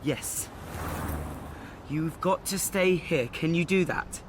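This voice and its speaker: A man's voice: a little high, takes it slowly, noisy background. An actor playing an awkward, frank teenage boy in a radio play, with a blunt, confiding manner. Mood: gentle, evasive. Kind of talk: radio play monologue and dialogue.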